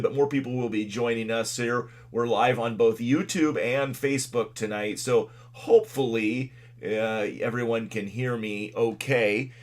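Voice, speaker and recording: A man hosting a casual live local weather update from a desk microphone, speaking loudly with many fillers and pauses. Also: mid-range voice. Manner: loud, halting delivery with many fillers. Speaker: local weather livestream host.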